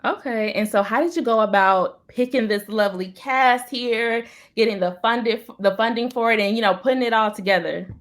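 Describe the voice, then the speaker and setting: A woman's voice: a little high, normal speed. A woman hosting an interview, putting questions to guests. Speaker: interviewer, host. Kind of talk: interview questions to a stage play cast.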